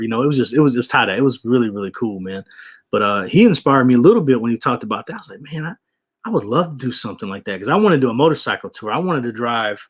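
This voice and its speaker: A man's voice: medium pitch, a bit bright. A man in his forties chatting casually with viewers, rambling, repeating himself and dropping "man" and "you know". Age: 40s. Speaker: vlogger reading viewer comments. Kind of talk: casual livestream chat.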